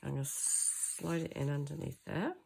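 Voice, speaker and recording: A woman talks through a craft project while nursing a cold, so her voice comes out throaty, low and full of gravelly fry. She muses aloud as she works, pausing often with little ums as she decides what to do next. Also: very noisy. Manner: thinks aloud, hesitant with many ums. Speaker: craft channel host. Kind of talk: chatty hands-on craft tutorial.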